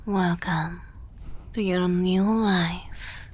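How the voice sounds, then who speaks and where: Woman playing a slow, whispery, dominant evil queen. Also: fairly low pitch, steady tone, darkish tone. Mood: soothing, tender. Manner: slow, deliberate, formal phrasing, breathy whispering. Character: dominant evil queen, motherly, seductive and possessive. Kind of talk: ASMR roleplay, soft and intimate.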